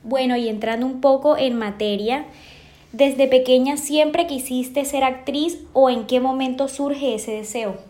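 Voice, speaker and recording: Woman interviewer with a bright, high voice. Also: measured pace. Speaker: interviewer host. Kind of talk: scripted interview questions.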